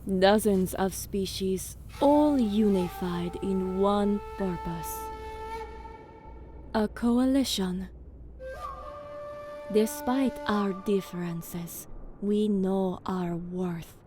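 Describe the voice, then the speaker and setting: A woman playing a composed female alien commander, narrating and speaking in a measured, formal, grave manner in an audio drama. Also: medium pitch. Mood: reflective, proud. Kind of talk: dramatic first-person narration and dialogue. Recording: voice over background music.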